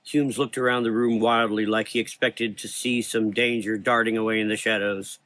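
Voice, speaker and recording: A man's voice: fairly low pitch, level tone, moderate pace, fairly bright tone, no echo, poor audio. A man reading scripted narration as the storyteller of a gothic horror drama.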